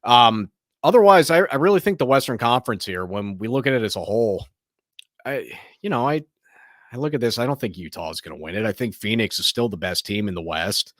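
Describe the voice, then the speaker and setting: A man with a slightly hoarse, raspy voice talks through his sports opinions in a loose, rambling way, often starting a sentence over. His pitch sits at an ordinary middle level. The sound is dry and close, with no room echo. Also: lively intonation, brisk, a bit bright. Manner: rambling and opinionated, frequent restarts. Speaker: sports show host. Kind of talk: casual opinionated sports commentary.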